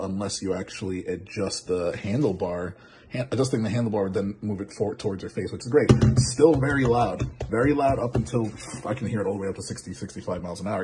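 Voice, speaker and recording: A man with a low voice talks through a product demo in a casual, off-the-cuff way. He circles back and restarts phrases as he goes. Clear background noise and small clicks sit behind him. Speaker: product reviewer.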